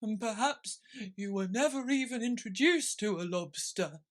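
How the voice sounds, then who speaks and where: A woman voicing a sorrowful but excitable male turtle creature in a storybook, solemn and wistful, given to excited exclamations. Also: mid-range voice, moderate pace, pitched above the performer's own voice, close to an everyday voice.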